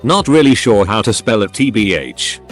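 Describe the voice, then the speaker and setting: Low-voiced man reading online posts. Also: sing-song, moderate pace, faint music behind. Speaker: narrator. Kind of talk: reading Reddit posts aloud.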